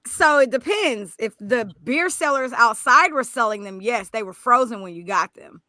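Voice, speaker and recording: A woman's voice: on the high side, normal speed, no echo, studio quality. A woman co-hosting a casual podcast chat, telling stories with animation and lots of fillers. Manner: animated storytelling, many fillers. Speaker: podcast co-host.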